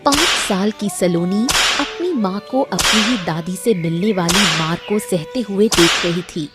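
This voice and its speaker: A woman's voice: mid-range voice, unhurried, heavy background noise. A woman reading scripted narration as the storyteller of a moral tale.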